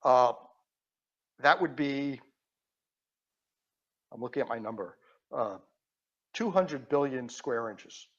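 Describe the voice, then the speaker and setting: A man with a Queens accent walks through a technical explanation step by step, pausing on fillers and restarting his phrases. His audio has a muffled, low-fidelity edge. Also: animated. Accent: Queens New York. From Queens, New York. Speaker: guest. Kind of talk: casual podcast chat.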